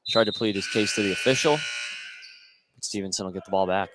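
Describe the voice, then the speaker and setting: A man's voice: on the low side, brisk. A man calling live basketball play-by-play for a radio broadcast. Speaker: play-by-play announcer. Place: indoor basketball gym, live game. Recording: noisy live gym broadcast.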